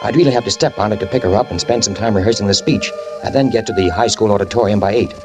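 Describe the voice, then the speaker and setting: An actor playing a guilt-ridden man who fled a fatal crash, narrating in the first person in a 1940s radio drama with tense, halting speech that stammers and repeats. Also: on the low side, lightly acted. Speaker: narrator and lead character. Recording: old radio recording with music behind.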